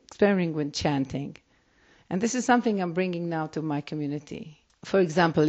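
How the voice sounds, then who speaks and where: A woman's voice: fairly low pitch, mellow. A woman rabbi whose native language is Hebrew, speaking with an Israeli accent and answering interview questions in a reflective way, often restarting her sentences. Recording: dull lo-fi recording.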